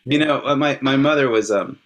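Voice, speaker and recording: A man born in California whose first language is Hebrew, speaking as an interview guest in a reflective, measured way. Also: mid-range voice. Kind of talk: casual interview.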